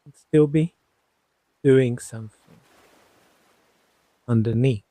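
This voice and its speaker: Very slow, soothing man guiding sleep hypnosis. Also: mid-range voice, dry recording. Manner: soothing, unhurried, gently reassuring, with long pauses. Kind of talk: guided sleep hypnosis narration.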